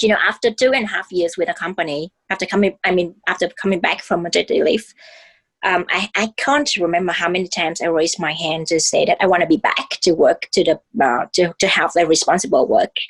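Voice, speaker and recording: A woman's voice: fairly low pitch, brisk, slightly rough. A woman host from Vietnam with a Vietnamese accent, speaking candidly and hesitantly in casual conversation, with many fillers and repeats.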